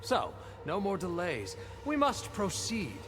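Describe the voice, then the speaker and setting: A commanding official speaks formally in a high, lively-pitched voice. It sounds like theatrical game dialogue, with music clearly underneath. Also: male voice, slow pace, heavily acted. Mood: resolute, cool.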